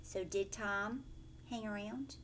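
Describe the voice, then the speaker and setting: A woman teacher reading a storybook aloud to young children, dramatic and expressive, with hushed questioning asides. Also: medium pitch, takes it slowly, slight background noise.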